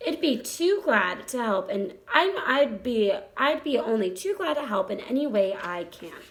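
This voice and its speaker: Woman voicing an eager, high-pitched childlike little pig. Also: big pitch swings, unhurried. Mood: earnest, childlike. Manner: pleading, eager questioning. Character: young male pig, anxious, eager, innocent and childlike.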